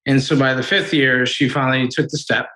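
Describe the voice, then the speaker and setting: A man in his late twenties on a call, his middle-pitched voice bright and forceful. He tells the story of his relationship casually and gets a little defensive when pressed. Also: steady tone, fairly quick. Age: 20s. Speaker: caller. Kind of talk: casual conversation.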